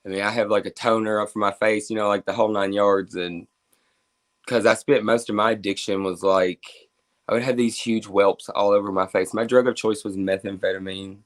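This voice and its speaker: A man in his twenties with a Kentucky accent speaks as a guest, with a fairly low voice. He talks openly and at length, often using "like" and "um" as fillers. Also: level tone, fairly quick. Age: 20s. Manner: open and talkative, with frequent fillers. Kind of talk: casual interview, telling his life story.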